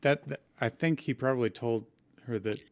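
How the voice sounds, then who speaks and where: A man's voice: medium pitch, normal speed. A man chatting casually as a co-host, recalling things in a loose, hesitant way. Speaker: co-host and reader on a fan-fiction show. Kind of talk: casual chat.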